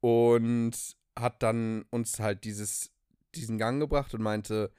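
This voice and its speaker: A young man chats as a podcast co-host in a medium-pitched voice. He tells stories in an enthusiastic, informal way with bits of English slang. The recording is dry, with no room echo. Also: fairly even intonation, slow, warm tone, clean tone. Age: young adult. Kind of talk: casual conversational storytelling.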